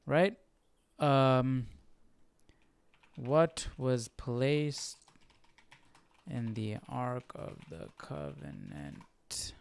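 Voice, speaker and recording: A man's voice: medium pitch, sing-song, extremely slow, creaky, pauses often, clear, resonant tone. A man hosting a live Bible study, thinking aloud in a casual, questioning, conversational way.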